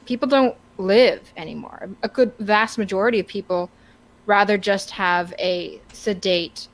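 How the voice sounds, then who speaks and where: Halting, wordy woman podcaster. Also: mid-range voice, rough recording. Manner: halting, searching for words, wordy. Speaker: podcast co-host. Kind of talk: casual opinionated discussion.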